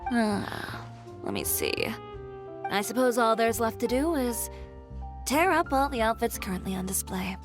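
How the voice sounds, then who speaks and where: A woman's voice: fairly high pitch, wildly swooping pitch, a little slow. A woman playing a blunt, fiercely determined young female fashion designer in a video game, composed, dry and self-assured. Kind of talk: video game character acting. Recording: game music behind voice. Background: game music.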